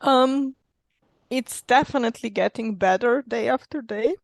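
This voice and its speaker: A woman from Slovenia, a non-native English speaker with a Slovenian accent, introducing herself haltingly with frequent pauses and fillers. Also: fairly high pitch, gravelly, dry recording, clean studio sound. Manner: hesitant, many pauses and fillers. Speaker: session participant.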